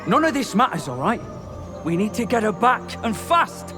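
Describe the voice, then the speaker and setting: A man's voice: high voice, sing-song, moderate pace, music in the background. A man playing a hot-headed, distrustful country brother in a video game, blunt and urgent, with a British accent. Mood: angry, urgent. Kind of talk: video game character acting.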